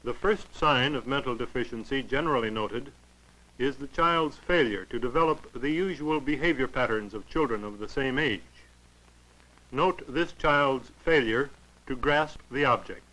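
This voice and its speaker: A man narrating a 1940s educational film in a formal, clinical, measured way. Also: medium pitch, sharp tone, poor audio.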